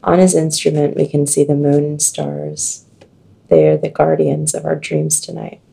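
A woman with a scratchy, deep voice, chatting casually and slowly reading an oracle card aloud. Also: level tone, creaky, slightly rough. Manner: slow, dreamy reading with soft laughs.

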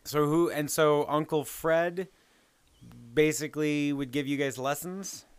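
A man hosting a casual family conversation as interviewer, enthusiastic and quick to interject. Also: on the high side, fairly even intonation, smooth. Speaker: host interviewing older relatives.

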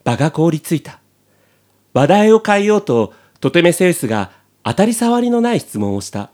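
A man's voice: on the high side, sing-song, moderate pace, darkish tone. A man reading scripted narration for a comic radio drama.

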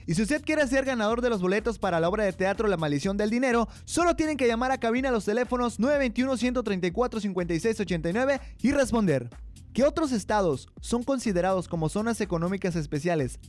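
A male radio presenter with a high-pitched voice and quick delivery. He reads scripted material in a formal announcer manner, with light music behind him. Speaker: radio host and narrator.

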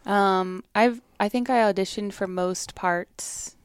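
A woman with a soft voice speaking as a musician guest in a casual interview, hesitant and full of fillers and restarts. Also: mid-range voice, steady tone, takes it slowly, smooth, no room sound.

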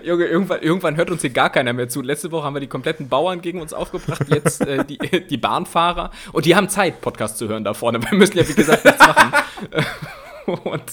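A man's voice: fairly high pitch, animated, fast, fairly bright tone, slightly rough. A man co-hosting a casual comedy podcast, rambling and laughing through colloquial banter. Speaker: podcast co-host.

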